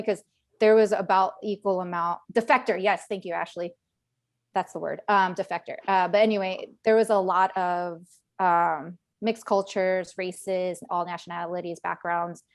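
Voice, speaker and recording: A woman veteran talks casually on a webinar panel, chatty and full of fillers and restarts. Her voice is mid-pitched and sits close and dry, with no room sound. She speaks over a video call. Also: no room sound. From Northern Virginia, USA.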